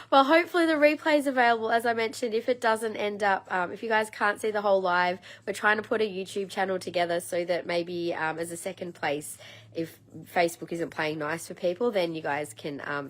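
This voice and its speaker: Croaky-voiced woman hosting a live sale. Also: medium pitch, fairly even intonation, a little fast. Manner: chatty and rambling, many ums. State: slightly croaky, frog in throat. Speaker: host of a live crystal sale. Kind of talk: casual live-stream sales chat.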